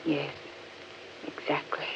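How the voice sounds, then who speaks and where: A woman's voice: slow, fairly bright tone, lo-fi sound, a little hiss or hum. An actress playing a weary, frightened woman in a melodramatic 1940s radio horror play. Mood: uneasy, hushed. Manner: melodramatic, breathless exclamations. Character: weary, frightened woman lost in a haunted forest. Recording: vintage radio recording with prominent music.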